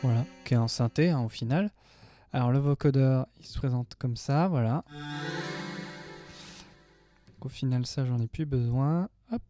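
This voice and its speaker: A man's voice: mid-range voice, normal speed, pauses often. A man in his thirties giving a casual, chatty, slangy tutorial as a music production tutor. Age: 30s. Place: small home studio indoors.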